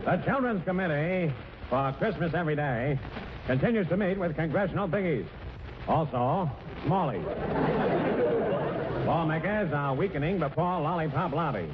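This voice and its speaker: A man puts on a punchy radio news commentator in a 1940s parody. The delivery is rapid-fire and swings in pitch. The broadcast sound is muffled and noisy. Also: animated, crowd noise, natural voice. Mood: urgent, brassy.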